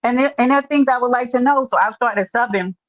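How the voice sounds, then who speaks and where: A woman's voice: fairly high pitch, quick pace, highs cut off. A woman with a New York accent hosting a casual livestream chat, calling people baby and honey as she talks. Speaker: livestream host.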